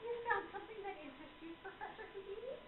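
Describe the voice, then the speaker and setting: Very high-voiced regal spirit medium played by an actress. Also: female voice, lively intonation, reverberant. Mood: sly, needling. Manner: haughty, formal, deliberate. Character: regal, imperious female spirit medium, cleverly needling. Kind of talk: radio play acting. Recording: phone-like lo-fi recording with clear noise.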